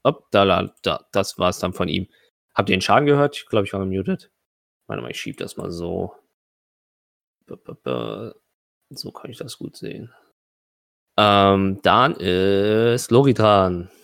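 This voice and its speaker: A man with a low voice leads a tabletop roleplaying game. He talks casually and hesitantly, muttering to himself while he works out the rules. The sound is dry, with very little room in it. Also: expressive, unhurried, creaky, long pauses, fairly bright tone, no echo. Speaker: game master.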